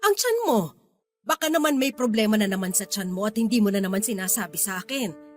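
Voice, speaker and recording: A woman playing a kindly, firm older mother figure in a Filipino radio drama, gently probing and protective. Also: mid-range voice, lively intonation, a little fast, soft background music, lightly acted. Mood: concerned, insistent.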